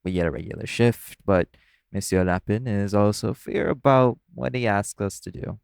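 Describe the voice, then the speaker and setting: An easygoing club performer played by a man talks in a low voice with plenty of gravelly fry, recorded close and dry. He is playful and teasing, speaking casually as if to a coworker in an intimate audio roleplay. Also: moderate pace, darkish tone, dry recording. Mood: friendly, reassuring.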